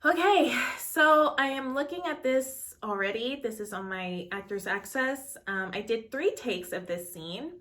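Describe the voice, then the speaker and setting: Chatty nervous young woman, bright voice. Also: a little high, lively intonation, a little slow, few pauses. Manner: chatty and rambling, with many fillers. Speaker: actor. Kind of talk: casual reaction vlog.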